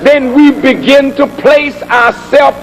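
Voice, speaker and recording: A man preaching a sermon in an emphatic, deliberate oratorical manner, building with repetition and rhetorical questions. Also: very high voice, faint music behind, a faint crowd, low-quality recording. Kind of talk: sermon, oratorical public address.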